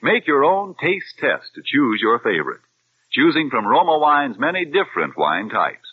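A male radio announcer reading a scripted sponsor advertisement in a smooth, deliberate announcer manner. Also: medium pitch, wildly swooping pitch. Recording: thin, phone-like old radio recording.

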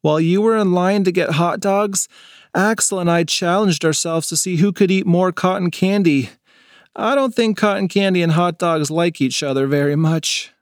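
A man's voice: high-pitched, normal speed, no room sound, studio quality, voice pushed up, close to an everyday voice. A man voicing a mild, helpful young boy in an audiobook.

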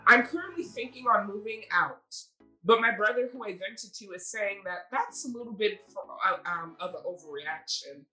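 A woman hosts a casual podcast with a bright, forward voice at a middle pitch. She tells and reads stories in an animated, conversational way, speaking directly to a co-host. Music plays clearly behind her. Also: normal speed, poor audio. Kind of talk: casual chat with reading aloud of online stories.

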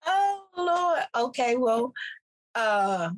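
A woman co-hosting a casual podcast with a hoarse voice, talking in a lively, easygoing way and laughing often. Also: sits high, drawn-out words, low-quality recording. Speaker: podcast co-host. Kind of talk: casual chat.

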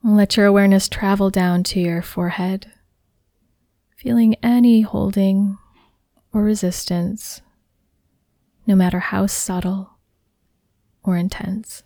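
A woman guiding a body scan meditation in a soft, soothing, unhurried way, with breath at the ends of phrases. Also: medium pitch, fairly even intonation, no echo, clean studio sound. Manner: soothing, unhurried, gently instructing. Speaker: meditation guide.

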